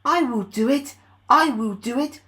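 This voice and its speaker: Man putting on a high-pitched eager little engine. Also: unhurried, sharp tone, full character voice. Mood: determined. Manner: eager, insistent. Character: small, eager, determined, cheerful male steam engine.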